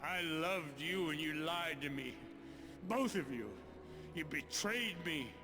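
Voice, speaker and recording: Menacing, vengeful gunslinger, reverberant. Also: male voice, fairly high pitch, animated, slow pace. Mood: bitter, betrayed. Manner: threatening, bitter, accusing. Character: vengeful, betrayed gunslinger brother, menacing and hard. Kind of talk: video game cutscene acting, Western drama. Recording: long reverb with music behind.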